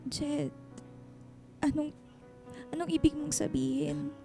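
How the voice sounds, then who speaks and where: A tender, insecure Filipino woman in a radio romance drama. Her voice is high and extremely soft in tone, with a hesitant, emotional delivery. Faint music sits underneath. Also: little pitch movement, slow, creaky, full character voice. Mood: vulnerable, anxious. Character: tender, insecure woman afraid to accept love.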